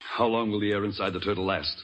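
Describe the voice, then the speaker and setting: A man acts out a senior Revolutionary War officer, grave and watchful. His low voice has the style of old radio acting. The recording is noticeably noisy. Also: fairly even intonation, fast, natural voice.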